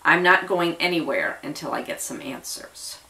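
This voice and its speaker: A woman's voice: sits low, moderate pace, pushed and bright. A woman voicing a shaken but determined married woman in an audio story, earnest and insistent as she wants answers. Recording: voice distant from the mic.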